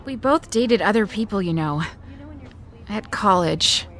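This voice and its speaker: Slow, animated, anxious overthinker. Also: female voice, medium pitch. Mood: earnest. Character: anxious, overthinking woman hesitant about marriage. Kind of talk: audio drama acting.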